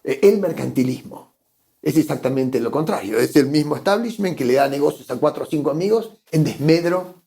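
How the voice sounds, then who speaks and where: An Argentine man with a gravelly, mellow voice, talking as an interview guest. He reasons his way forward and stumbles over repeated words as he looks for the right phrase. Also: on the high side, expressive, a little fast. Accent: Argentine Spanish. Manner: thoughtful, repeats words while searching for phrasing. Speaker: guest economist. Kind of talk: conversational interview.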